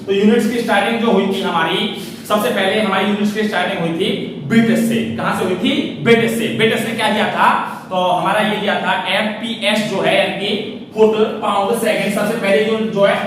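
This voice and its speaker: High-pitched man lecturing in an echoey room. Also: steady tone, a little fast, a bit bright. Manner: didactic, asks questions and answers them, repeats key points. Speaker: teacher for competitive exam students. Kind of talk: classroom lecture on physics, writing as he explains. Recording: roomy echo, distant mic, faint noise.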